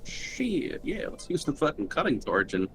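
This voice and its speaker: A man's voice: on the high side, very animated, brisk, low-quality recording. A man chatting casually as a player in a tabletop roleplaying game. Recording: faint background noise.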